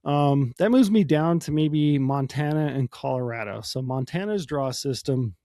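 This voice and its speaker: Hoarse man explaining casually. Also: medium pitch, animated, moderate pace, mellow, no echo, clean studio sound. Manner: casual, explanatory, many ums and you-knows. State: hoarse from a cold. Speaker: podcast host. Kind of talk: solo podcast talk.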